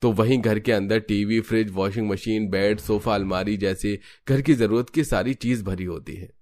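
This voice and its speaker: A man's voice: fairly low pitch, fairly even intonation, normal speed, darkish tone, no echo. A man reading scripted narration for a cartoon family story.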